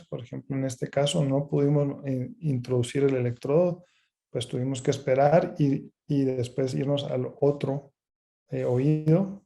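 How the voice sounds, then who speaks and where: A man lectures as a medical specialist in a very soft, dark, mellow voice. He explains things step by step, often pausing halfway through a phrase. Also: a little high, vocal fry. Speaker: ENT specialist doctor presenting a webinar.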